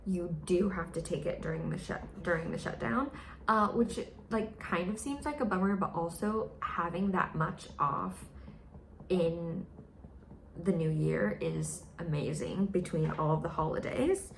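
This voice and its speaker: A young woman from the United States chats in a loose, filler-heavy way and puts on high voices when she quotes people. Her voice sits low with a gravelly fry. She sounds like she is in an echoey room with faint noise behind her. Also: unhurried. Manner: chatty, with many fillers, mimics others in high voices. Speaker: vlogger. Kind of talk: casual vlog chat.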